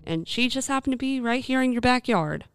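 A woman playing a reassuring demon hunter in a radio play, gentle and assured with a folksy touch. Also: a little high, expressive, brisk, clear, resonant tone, dry recording, clean studio sound. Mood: firm, reassuring. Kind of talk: scripted radio play acting.

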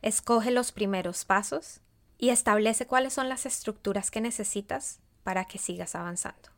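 A woman's voice: medium pitch, normal speed. Colombian woman, leadership coach and podcast host; scripted, reflective monologue with questions to the listener. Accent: Colombian Spanish.

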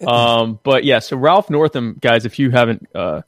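A man's voice: medium pitch, expressive, normal speed, dry recording, studio quality. Rambling, wry man hosting a podcast. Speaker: podcast host. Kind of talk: casual conversational podcast chat.

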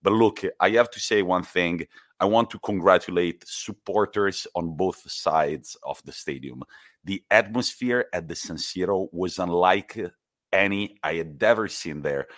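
A man's voice: low-pitched, no room sound, studio quality. A young man, co-hosting a casual football podcast and telling stories with emphatic energy. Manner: animated, emphatic storytelling. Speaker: podcast co-host.

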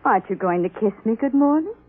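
An actress playing an adoring, anxiously clingy housewife in an old radio drama, gushing and eager to please. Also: female voice, a little high, lively intonation, fast, lightly acted. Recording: tinny phone-like old radio recording.

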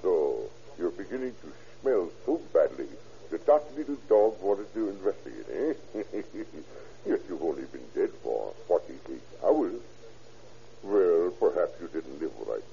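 A sinister scientist. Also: male voice, sits low, big pitch swings, measured pace, gravelly, dark tone, a little hiss or hum, heavily acted. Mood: gloating. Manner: stammering, sly, with menacing chuckles. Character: sinister German scientist, middle-aged man, murderous. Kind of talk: 1940s radio acting. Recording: dull old radio recording.

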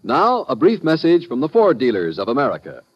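A man's voice: medium pitch, wildly swooping pitch, a little fast, breathy edge, no echo. A man announcing a radio program in a scripted 1950s broadcast style. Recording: dull vintage radio recording. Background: music.

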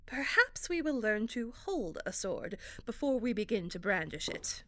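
A woman playing a strained, stern actress and stage combat teacher in an audio drama, speaking in a measured, dry, instructive way. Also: medium pitch, big pitch swings, background noise, close to an everyday voice. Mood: instructing.

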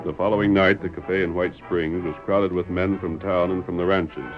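A man narrates a vintage radio western. His voice is deep, telling the story formally over prominent music, with muffled old broadcast sound. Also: level tone, normal speed.

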